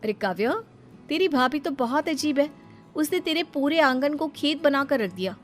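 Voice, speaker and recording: A gossiping cartoon neighbour put on by a woman with a high, raised voice. She is scornful and mocking, leaning hard on her words over faint music. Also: lively intonation, normal speed. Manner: mocking, emphatic.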